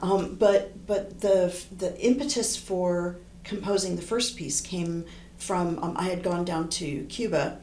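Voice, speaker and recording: A middle-aged woman, a horn player and composer, talking in a relaxed, thoughtful interview and often restarting her sentences. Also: reverberant, a little hiss or hum. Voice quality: low voice. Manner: thoughtful, rambling, frequent restarts.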